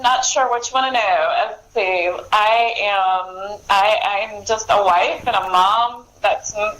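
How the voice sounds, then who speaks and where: A middle-aged woman, an author chatting casually as an interview guest, talkative and quick to laugh. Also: mid-range voice, a bit bright, a little hiss or hum, low-quality recording.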